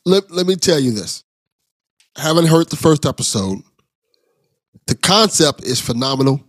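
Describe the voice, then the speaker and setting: A man's voice: a little high, sing-song, normal speed, no echo, studio quality. A man hosting a podcast, chatting casually and enthusiastically with a guest. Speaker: podcast host. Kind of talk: casual interview chat.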